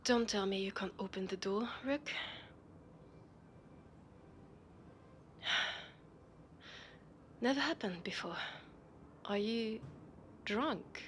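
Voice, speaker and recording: A woman with a French accent voicing a sharp, scolding but caring young policewoman in an intimate sci-fi roleplay audio drama. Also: mid-range voice, slow pace, long pauses, a little hiss or hum. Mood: wary, concerned.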